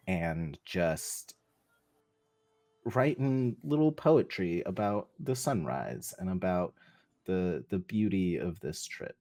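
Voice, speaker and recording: A man playing a tabletop roleplaying game, describing his character in a halting, playful way. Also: on the low side, mellow.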